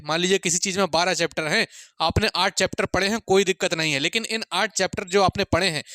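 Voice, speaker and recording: A man's voice: high voice, bright, forceful tone, no room sound, studio quality. A man giving an informal exam-prep lecture, repeating his points and often checking in with students in a friendly way.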